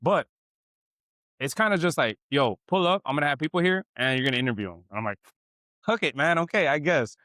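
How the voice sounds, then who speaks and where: A young man from South Texas hosting a podcast in a barbershop, telling stories in slangy, animated casual chat. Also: a little high, a little fast, no room sound, clean studio sound. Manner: animated storytelling, slangy, says "bro" and "man" a lot. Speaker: podcast host.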